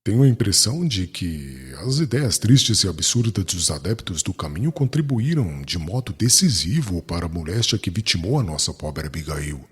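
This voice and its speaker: An actor playing a bitter, indignant young doctor of religious law in a dramatized audiobook, questioning in formal speech. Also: male voice, deep voice, big pitch swings, normal speed, few pauses, breathy edge, long reverb tail, full character voice.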